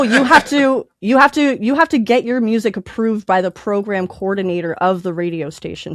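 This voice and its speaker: A woman's voice: medium pitch, no room sound, studio quality. A woman guest in a casual podcast interview, explaining things emphatically and repeating her key points. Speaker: podcast guest, independent music artist.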